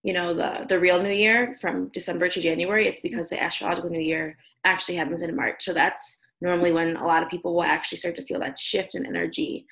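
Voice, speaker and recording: Woman, lowish voice, sleepy and a bit raspy, casual podcast chat, many fillers, video call. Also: fairly even intonation, fast talker, hardly any pauses, a bit bright, low-quality recording. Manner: chatty, explains astrology with many fillers. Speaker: podcast co-host.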